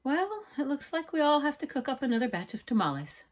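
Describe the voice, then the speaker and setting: A cheerful storybook aunt, played by a woman reading aloud, reassuring and encouraging. Her pitch is lifted above plain narration. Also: sing-song, fairly quick. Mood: cheerful, consoling.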